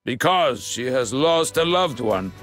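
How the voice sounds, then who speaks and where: An actor playing a calm, stern and compassionate leader in a dramatic video game style, speaking with measured authority. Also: male voice, medium pitch, soft background music. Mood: stern, solemn.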